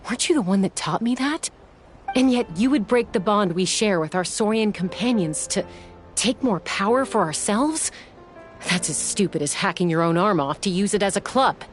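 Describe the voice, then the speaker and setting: A woman's voice: mid-range voice, lively intonation, normal speed, natural voice. An actress playing a tough, principled young woman warrior in a video game, blunt, firm and confrontational. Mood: firm, reproachful. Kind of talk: scripted video game voice acting. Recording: music under the voice.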